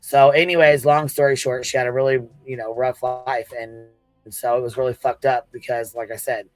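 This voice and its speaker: A man's voice: medium pitch, little pitch movement, moderate pace, no echo, faint music behind, low-quality recording. A man with an American accent doing casual livestream commentary, telling a story in informal, sweary, slangy talk.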